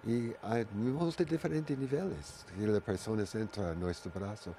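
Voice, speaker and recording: An older man with an Italian accent who is a native Italian speaker, answering interview questions in halting Spanish, searching for words and repeating phrases. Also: on the low side, gravelly, dark tone, slight background noise. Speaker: guest, Watsu originator.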